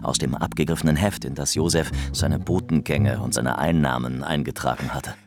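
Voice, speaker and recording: A man's voice: a bass voice, steady tone, normal speed. A man narrating a literary radio play dramatically, in terse, declarative sentences. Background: music.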